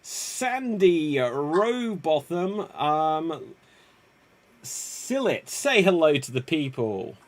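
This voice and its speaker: A man's voice: on the high side, very animated, extremely slow. A man hosting a live improv game over a video call, upbeat and a bit rambling. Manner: upbeat, rambling, self-correcting. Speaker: improv show host. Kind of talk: energetic game-show hosting.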